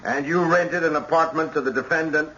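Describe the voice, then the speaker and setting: An actor playing a methodical courtroom attorney with formal, probing manner, in radio drama style. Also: male voice, a little high, fairly quick, sharp tone, muffled recording, a little hiss or hum.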